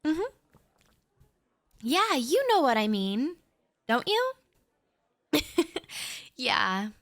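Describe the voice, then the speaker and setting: A young woman in a shy, rambling audio roleplay monologue, talking softly straight to the listener with nervous little laughs. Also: high voice, very animated, very slow, no echo, studio quality.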